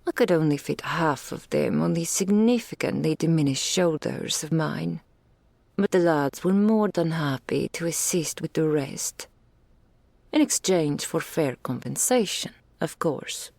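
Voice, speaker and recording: A woman's voice: deep voice, animated, measured pace, gravelly, darkish tone, no room sound, heavily acted. A woman voicing a doting, regal female dragon in human form, speaking intimately to the listener in ornate, archaic phrases as an ASMR roleplay. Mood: proud, amused.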